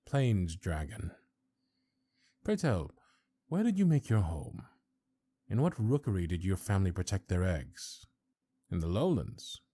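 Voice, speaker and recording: A man playing a stern, haughty old high councilor of a fantasy realm in an audio roleplay, speaking in formal, archaic, deliberate phrases. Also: mellow, slightly rough, no echo, full character voice. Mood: scornful.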